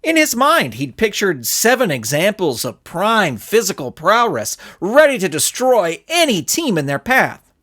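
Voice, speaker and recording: A man reading a scripted story aloud in a theatrical, expressive storytelling manner. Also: high voice, a little slow. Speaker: narrator and author reading his own story. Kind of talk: animated scripted audiobook narration.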